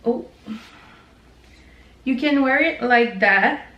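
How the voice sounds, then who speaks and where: A woman's voice: high-pitched, slow pace, reverberant, dull audio. A woman with a French Canadian accent, hosting her own knitting channel in a chatty, informal way with lots of fillers. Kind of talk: casual chat to camera.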